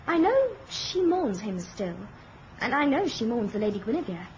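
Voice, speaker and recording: A woman's voice: a little high, sing-song, normal speed, a little mellow. An actress playing a respectful young noblewoman of about twenty in a radio play, speaking formally and earnestly. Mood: gentle. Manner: formal, deferential, questioning. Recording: dull, noisy old radio recording.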